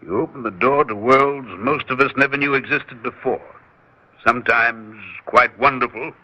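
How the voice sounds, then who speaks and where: An actor playing a smooth, persuasive male research doctor in an old radio drama. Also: mid-range voice, moderate pace, natural voice. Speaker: scientist. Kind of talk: 1960s radio drama acting. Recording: dull old radio recording.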